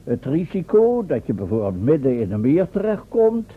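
An actor playing a pompous, long-winded inventor professor who lectures formally and corrects himself, in a Dutch radio play. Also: male voice, fairly high pitch, very animated, moderate pace, slightly rough. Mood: explaining. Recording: tinny band-limited old radio recording, faint noise.

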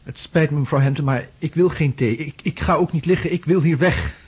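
An actor playing a troubled, distraught man in a radio play, halting and insistent, repeating himself. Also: fairly high pitch, slightly rough. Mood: agitated, insistent. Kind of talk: Dutch radio play acting.

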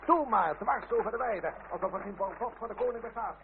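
A man's voice: high-pitched, fairly quick, gravelly. An actor playing an irritable, imperious French nobleman in a radio play. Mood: irritated. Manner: imperious, indignant. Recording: tinny, crackly, noisy old radio recording.

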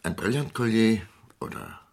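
Gravelly, condescending husband. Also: male voice, on the low side, wildly swooping pitch, moderate pace, darkish tone, exaggerated voice. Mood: nervous, pleading. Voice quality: gravelly, husky. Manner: exasperated, dramatic swings from muttering to outbursts. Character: philandering, condescending husband, irritable and rattled. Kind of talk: German radio crime drama acting.

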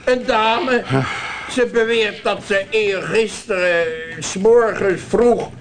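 Flustered high-voiced police deputy, distant. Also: male voice, slow pace, a crowd in the background. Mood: exasperated. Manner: stammering, protesting. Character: flustered, timid male police deputy, easily rattled. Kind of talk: Dutch radio comedy acting.

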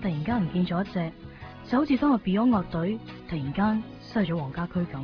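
An actress playing an anxious, sentimental young shopkeeper with a Hong Kong Cantonese accent in a radio drama. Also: female voice, fairly low pitch, animated, fairly quick, close to an everyday voice. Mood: wistful. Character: anxious, sentimental young woman shopkeeper named Chui-bik. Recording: dull 1990s radio, loud music behind. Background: music.

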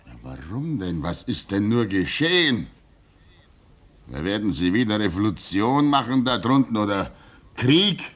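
Sehr tiefer, väterlicher Stationsveteran. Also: male voice, on the low side, very animated, a bit bright, exaggerated voice. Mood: calm, wistful. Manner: soothing, hesitant, measured. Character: gentle, fatherly veteran of a lonely polar station. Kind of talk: German radio play acting.

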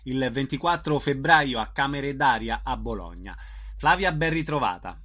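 Guy hosting a radio chat, normal mid pitch, asking a guest questions. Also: animated, normal speed, bright, forceful tone. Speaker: interviewer, radio host. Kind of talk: conversational radio interview.

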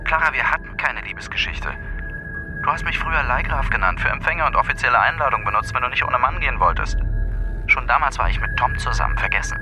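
An actor as a disillusioned man in a radio crime play, speaking to an old friend with curt reproach. His voice sits at a middle pitch, with music clearly behind it. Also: expressive, fast, natural voice, low-quality recording. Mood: reproachful. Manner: curt, reproachful, pointed short questions. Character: bitter, disillusioned male friend of an accused woman. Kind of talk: German radio crime drama acting.

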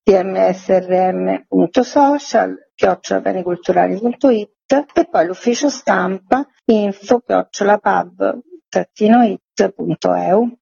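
A woman's voice: mid-range voice, measured pace, vocal fry, dark tone, husky, no room sound, muffled recording. A woman speaking as a radio interview guest, giving practical information in a list-like way. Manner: list-like, reading out web addresses. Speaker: museum representative guest.

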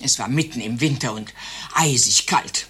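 An actress playing a chatty elderly widow, husky and gossipy, telling exaggerated tales in a satirical radio play. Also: female voice, very deep voice, moderate pace, fairly bright tone, exaggerated voice. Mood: proudly reminiscing.

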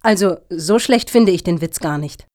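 A woman's voice: fairly low pitch, moderate pace, a little mellow, natural voice. A woman playing a mocking, easily affronted panel member in a satirical radio play, with wry interjections.

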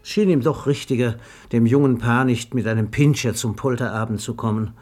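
A man's voice: medium pitch, brisk, a little mellow, faint music behind. A man narrating a literary radio play in a scripted storytelling style.

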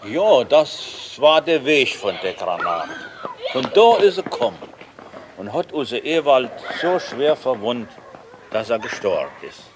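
A man's voice: mid-range voice, sing-song, measured pace, noisy background. An actor playing a proud, talkative village father in a naturalistic radio play, with colloquial, rustic phrasing. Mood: proud, showing off.

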